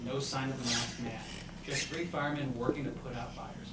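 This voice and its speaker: A man's voice: medium pitch, steady tone, normal speed. A man reading stage directions aloud at a comedy script table read, prone to breaking into laughter. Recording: noisy echoey room, far from mic.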